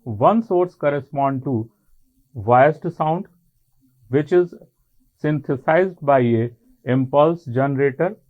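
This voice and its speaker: A man with an Indian accent giving a technical college lecture in an explanatory way, in deliberate short phrases. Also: mid-range voice. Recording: muffled, phone-like narrow band.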